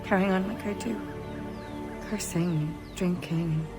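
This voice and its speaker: An actress playing a fiery young pirate woman brought low by grief, in a video game cutscene. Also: takes it slowly, music in the background. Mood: bitter grief. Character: grieving young pirate woman, fiery but broken-hearted.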